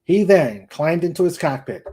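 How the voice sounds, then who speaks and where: A man's voice: fairly high pitch, lively intonation, moderate pace, mellow. A man narrating a novel aloud as a dramatic reading, careful, with occasional stumbles over words. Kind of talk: dramatic audiobook-style narration.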